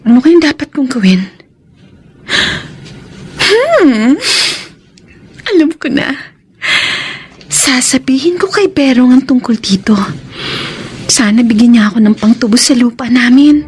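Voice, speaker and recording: A woman's voice: high-pitched, lively intonation, unhurried, long pauses, a little mellow, breathy edge, exaggerated voice. A Filipino woman playing a scheming young gold-digger in a radio drama soliloquy, smug and calculating.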